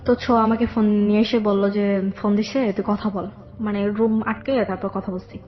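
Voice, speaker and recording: A young woman with a Bangladeshi accent telling a personal story conversationally, haltingly, often circling back over details. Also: medium pitch, flat delivery, dull audio. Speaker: guest telling her life story.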